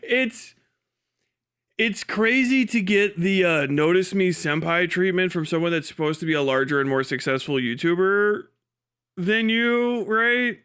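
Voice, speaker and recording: A man's voice: sits high, animated, measured pace, fairly bright tone, clear, resonant tone. A man hosting a casual livestream, talking in a sweary, dismissive, sardonic way. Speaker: livestream host.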